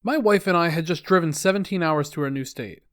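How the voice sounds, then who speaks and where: A man's voice: on the high side, fairly quick, fairly bright tone, no room sound, clean studio sound. A man reading online posts aloud, adding wry, sarcastic comments between them.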